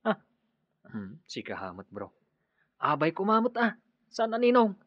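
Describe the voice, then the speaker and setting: A man's voice: high voice, huge pitch swings, measured pace, dry recording, dull audio, full character voice. An actor playing a teasing, laid-back male buddy in a radio drama, full of banter. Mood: mock offended.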